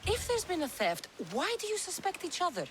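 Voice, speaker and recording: An actress playing a commanding, dry-witted mercenary heroine in a video game. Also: female voice, extremely high pitch, huge pitch swings, brisk, a little hiss or hum, exaggerated voice. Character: commanding female mercenary hero.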